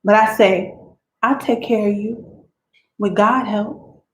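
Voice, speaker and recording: A worn-down teenage country girl pours out her troubles to God in plain, quiet dialect, as a woman reads her letters aloud. Her voice sits at an ordinary middle pitch, and the recording carries a roomy echo with a faint hiss behind it. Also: steady tone, slow, lightly acted. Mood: resigned. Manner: plain-spoken rural dialect, quiet and resigned. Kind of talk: dramatic reading of letters.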